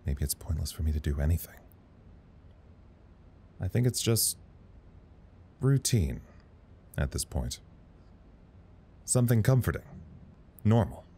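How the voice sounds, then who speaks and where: An actor playing a wryly philosophical staffer at a trapped research site, musing dryly in an audio drama log. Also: male voice, sits low, very animated, unhurried, pauses often, dark tone, breathy edge, exaggerated voice. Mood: pensive. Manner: musing, dry, wry.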